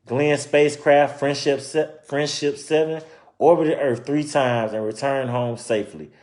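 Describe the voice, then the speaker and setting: A man's voice: mid-range voice, fairly even intonation, a little slow, darkish tone. Man reading aloud with casual asides. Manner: conversational, explains and repeats points informally. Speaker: storyteller reading a children's book aloud.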